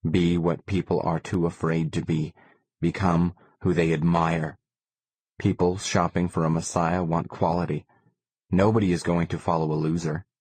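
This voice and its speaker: Low-voiced man narrating, close and dry. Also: level tone, moderate pace, darkish tone, breathy edge, no room sound. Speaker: audiobook narrator. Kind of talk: scripted first-person novel narration.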